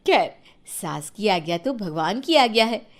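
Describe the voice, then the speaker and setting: A woman narrating a scripted story in an expressive, playful and dramatic way. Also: mid-range voice, measured pace.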